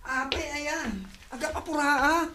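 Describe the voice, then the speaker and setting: A woman with an Ilocano accent playing a shy, secretive young woman who speaks softly and hesitantly. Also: high-pitched, moderate pace. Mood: evasive. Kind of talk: comedy drama acting.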